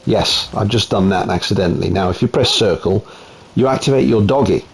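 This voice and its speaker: Italian man, lowish voice, relaxed game review at home, faint room hum. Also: a little fast, creaky. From Italy. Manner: relaxed, chatty, frequent ums. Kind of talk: casual gameplay commentary.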